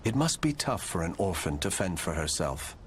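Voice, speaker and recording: A man's voice: sits low, sing-song, normal speed, a little hiss or hum. An actor playing a proud, desperate male noble swordsman in a game cutscene, speaking in a haughty, aristocratic way. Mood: weary.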